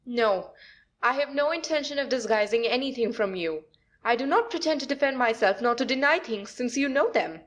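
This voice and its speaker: Lady acting a scheming young wife in an old stage comedy, high voice, kinda haughty. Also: animated, moderate pace. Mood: defiant pleading. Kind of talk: classic stage comedy audio play.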